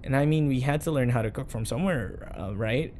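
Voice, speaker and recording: A man's voice: medium pitch, a little fast, a little mellow, a little hiss or hum, natural voice. A man playing a flustered, obsessively devoted bodyguard in an audio roleplay, earnest and nervously backpedalling. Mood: nervous cover-up. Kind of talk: audio roleplay acting addressed to the listener.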